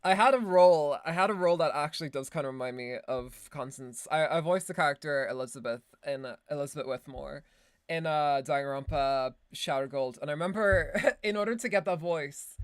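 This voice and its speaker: An Irish woman, a voice actor, chatting casually on a stream with quick self-mocking asides and laughs. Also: low voice, no room sound, clean studio sound. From Ireland. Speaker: voice actor and streamer reacting to a game video. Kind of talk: casual chat.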